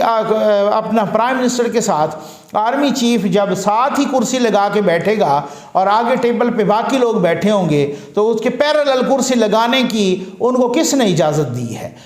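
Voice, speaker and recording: High-voiced man giving political commentary. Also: echoey room. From Pakistan. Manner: earnest, rhetorical, repeats phrases in lists. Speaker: news commentator.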